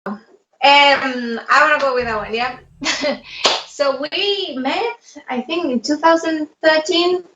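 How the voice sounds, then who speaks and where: A woman's voice: sits high, slow pace, bright, forceful tone, a little hiss or hum. A young woman from Spain with a Spanish accent, chatting casually as an interview guest. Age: young adult. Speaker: interview guest, dance instructor. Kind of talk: casual chat. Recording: roomy, dull lo-fi audio.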